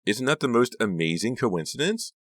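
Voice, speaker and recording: A man's voice: on the low side, very animated, normal speed, a bit bright, no room sound, clean studio sound, voice pushed up. A man voicing a gushing, clingy, overexcited teenage girl in an audiobook, with emphatic, repeated phrases. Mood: gushing, emotional.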